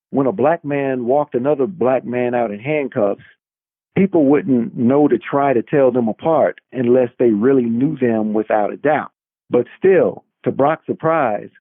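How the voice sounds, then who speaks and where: A man's voice: mid-range voice, no echo, narrow, tinny audio. A man who is an author reading his own novel as dramatic narration, on a band-limited recording.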